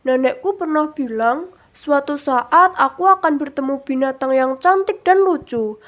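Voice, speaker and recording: A woman's voice: sits high, measured pace, fairly bright tone. A woman voicing an earnest, polite, lonely young village boy in a children's storybook. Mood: thoughtful.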